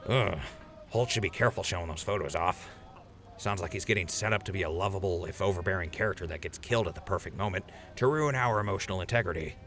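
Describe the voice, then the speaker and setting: A man's voice: low voice, fast, a bit bright. A man playing an easygoing, wisecracking leader of a radio crew in an audio drama, glib and casual. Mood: wry.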